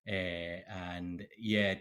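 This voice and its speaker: Low-voiced Scottish man, halting speech. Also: little pitch movement, a bit bright. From Scotland. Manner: halting, many fillers and restarts. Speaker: guest, PhD candidate. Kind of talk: casual interview talk.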